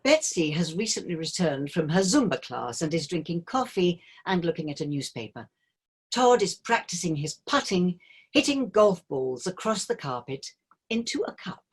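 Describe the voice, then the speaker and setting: A woman narrates a play's stage directions from a script. Her voice is a little low and husky, and her measured delivery moves through a wide, lively range of pitch.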